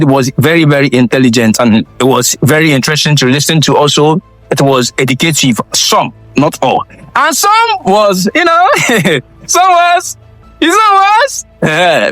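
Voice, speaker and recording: Lively high-pitched man. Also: no echo. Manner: animated, joking, repetitive. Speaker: channel host. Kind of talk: commentary and sign-off to viewers.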